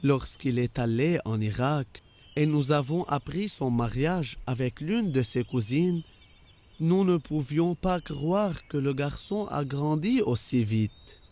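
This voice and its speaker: Man doing happy gentle household guy in a cartoon, slow n mellow, bit high. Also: lively intonation. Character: happy, fond male member of Abraham's household. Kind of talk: cartoon dubbing.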